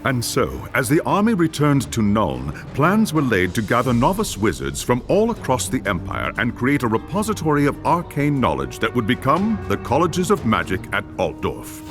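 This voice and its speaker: A man's voice: medium pitch, huge pitch swings, normal speed, few pauses. Male game narrator, grave storyteller, very sweeping intonation, music bed.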